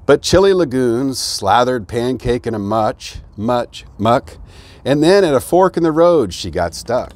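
A middle-aged American man reading a children's rhyming storybook aloud in an expressive storytelling manner. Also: medium pitch, measured pace. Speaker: fire chief, guest reader. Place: outdoors beside an open fire truck.

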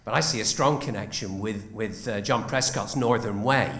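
A man's voice: on the low side, fairly bright tone. Older northern man speaking from the audience. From north of England. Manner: rambling, restarts, deliberate. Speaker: audience member. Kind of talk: audience question. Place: lecture theatre audience seating. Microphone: handheld mic. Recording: echoey lecture hall.

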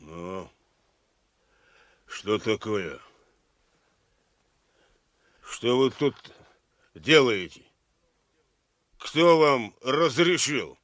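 An actor playing a stern, self-important village elder in a radio play, questioning people pompously. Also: male voice, mid-range voice, animated, very slow, pauses often, fairly bright tone, dry recording. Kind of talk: Soviet radio play acting.

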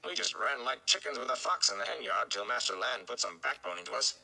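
A flustered, stout old mayor played by a male reader, emphatic and excitable. His voice swoops about with a forceful, bright tone and some gravel, in storybook style. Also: medium pitch, fast talker, pitched above the performer's own voice, exaggerated voice.

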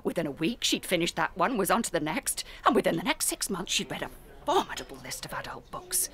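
An actress playing a kindly, doting British librarian in a dramatised children's audiobook, gentle and encouraging as she talks. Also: on the low side, huge pitch swings, brisk. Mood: fond, marvelling. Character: kindly, doting older female village librarian. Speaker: librarian narrating memories.

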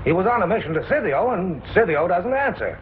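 An actor playing a stern, impatient military commander in a 1950s TV serial, clipped and authoritative. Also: male voice, sits high, very animated, a little fast, a little hiss or hum. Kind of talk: 1950s television serial acting. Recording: tinny old TV film soundtrack.

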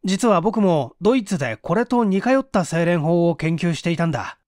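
A man's voice: sits high, lively intonation, normal speed, a little mellow, no room sound, clean studio sound. A man voicing a blunt, serious, unsociable male engineer in a story reading, with a brusque manner.

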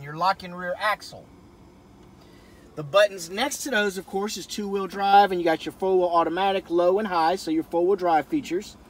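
A man's voice: high voice, fairly bright tone. A middle-aged man giving a casual, folksy walkaround from inside a pickup truck cab. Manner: folksy, informal. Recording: camera audio with cabin noise.

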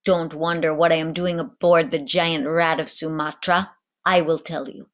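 A woman's voice: low voice, little pitch movement, moderate pace, lightly acted. A woman voicing a tall, proud male ship captain who talks in a grand, bookish way. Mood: boastful.

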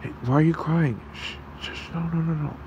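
A man voicing a gentle, protective fox creature in an ASMR roleplay, with a soothing, halting, gravelly delivery. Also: on the high side, steady tone, muffled recording, noisy background, natural voice. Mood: comforting. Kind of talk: ASMR roleplay, soft comforting talk.

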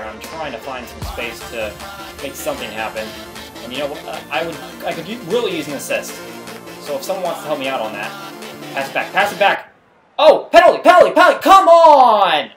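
A man hosting a gaming video, giving slangy, exclamatory, self-hyping play-by-play of his own game. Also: on the high side. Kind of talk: excitable gameplay commentary.

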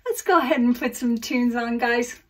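A woman's voice: a little high, moderate pace, far from the mic. A middle-aged woman hosting a casual acrylic pour painting video, chatting enthusiastically to viewers about her colors at her studio table. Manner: gushing and enthusiastic, calls viewers guys. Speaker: acrylic pour artist and channel host. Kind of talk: casual craft tutorial chat to viewers.

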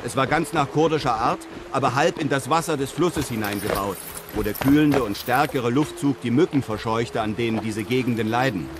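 Casting: male actor for fearless traveller-narrator, mid-pitched, measured and self-assured, radio play with clear background noise. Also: natural voice. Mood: composed. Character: composed, fearless European traveller narrating his adventures. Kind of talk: scripted narration and radio drama acting.

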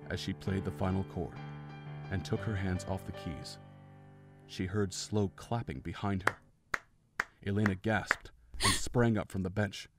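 Low-pitched male story narrator.

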